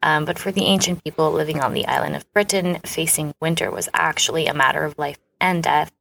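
A woman's voice: deep voice, steady tone, brisk, creaky, a bit bright, a little hiss or hum, rough recording. A woman co-hosting a podcast, explaining things in an enthusiastic, informal, conversational way. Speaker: podcast co-host and author.

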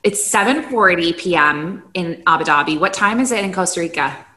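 Bright-voiced woman host in an echoey room. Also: on the low side, animated, fairly quick. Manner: enthusiastic, upbeat questioning. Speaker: podcast host. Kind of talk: casual interview hosting. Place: room in Abu Dhabi. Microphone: video call. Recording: roomy echo.

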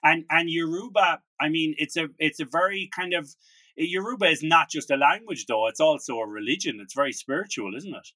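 A man's voice: on the high side, fairly quick, bright, forceful tone, no echo. A man hosting an interview, who often repeats and restarts words as he frames his questions. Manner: hesitant, repeats words while asking questions. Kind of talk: casual interview.

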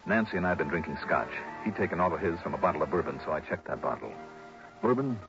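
A shrewd, hard-boiled private investigator in an old radio drama. The voice sits on the low side, with clipped, wry, probing delivery. The recording is dull and vintage, with music clearly present. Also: male voice, lively intonation, fast. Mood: brooding narration. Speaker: star of the show. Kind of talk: 1950s-60s radio drama acting and first-person narration.

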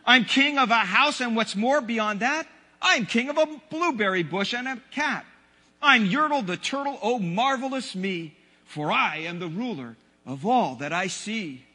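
A man's voice: high-pitched, expressive, measured pace, sharp tone, exaggerated voice. A man voicing a boastful, domineering turtle king from a children's storybook, pompous and bellowing. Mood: gloating. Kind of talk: children's storybook read aloud. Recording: long hall reverb.

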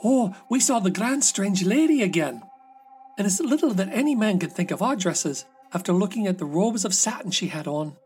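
A man's voice: sits high, lively intonation, moderate pace, darkish tone. Man reading as envious elder sister, gossipy, grumbling, storybook fairy tale, music behind.

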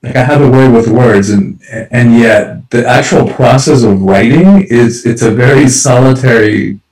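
A man's voice: mid-range voice, unhurried, darkish tone, roomy sound, recorded from a distance, low-quality recording. A man who grew up in Cuba, an author and speaker, talking reflectively in an interview and often repeating words as he gathers his thoughts. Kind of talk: conversational interview.

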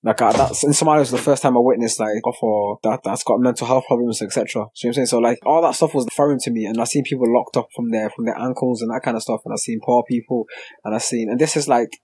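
Fast-talking young man with a husky voice. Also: mid-range voice, fairly even intonation, dark tone, no echo. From Sweden. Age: young adult. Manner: slangy, frequent check-in tags. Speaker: podcast guest. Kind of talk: casual chat.

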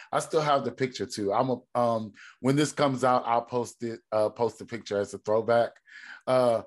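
Deep-voiced man in his thirties, podcast guest, reflective and unhurried, mid-pitched speech full of ums and restarts. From Texas. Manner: thinks aloud, many ums and restarts. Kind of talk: reflective conversational storytelling.

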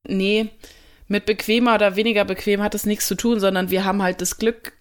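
A young woman from Germany, a musician answering interview questions in a casual, thoughtful way, pausing often with fillers and restarts. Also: medium pitch, fairly even intonation, clear, resonant tone.

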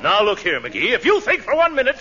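A man's voice: high voice, huge pitch swings, brisk. An actor playing a pompous, blustering middle-aged man who gets easily flustered, in 1940s radio comedy style. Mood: blustering indignation. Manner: pompous, blustering, swooping exclamations. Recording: dull, noisy 1940s radio recording.

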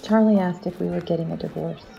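Woman playing a mellow, creaky-voiced caring mother. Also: on the low side, fast, muffled recording. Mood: hurt, uneasy. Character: caring, troubled middle-aged wife and mother of grown son. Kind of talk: audio drama acting.

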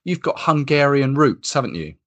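Man, podcast host, pitch rising and falling, friendly interviewer. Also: sing-song, normal speed, a bit bright. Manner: friendly, conversational questioning.

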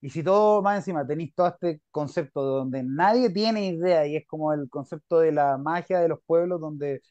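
A Chilean man hosting a podcast, relaxed and chatty as he interviews his guest. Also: fairly high pitch, moderate pace, no room sound. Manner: relaxed, chatty, talks about himself. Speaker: podcast host. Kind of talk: casual interview chat. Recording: dull dry sound.

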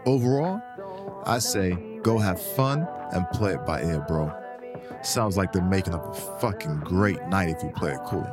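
A man's voice: sits low, lively intonation, normal speed, music in the background. A man playing a brash, teasing friend in a casual audio drama, blunt and slangy, giving advice over a game of pool. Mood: blunt, advising.

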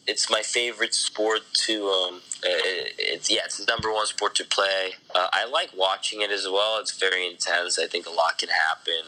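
Young guy from sweden being interviewed, chatty, really bright punchy voice, a bit low. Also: rough recording. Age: early 20s. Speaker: podcast guest, company founder. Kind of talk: casual interview chat.

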